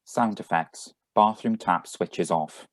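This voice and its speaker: Slow, deep-voiced man reading stage directions. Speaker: announcer reading sound effect directions. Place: remote, on a Zoom video call. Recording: live video call reading.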